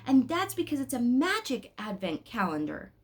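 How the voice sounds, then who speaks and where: An excitable young boy from a storybook, acted by a woman reading aloud. The voice jumps up high and swings about eagerly, insisting in earnest. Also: moderate pace, slightly rough, full character voice. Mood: insistent.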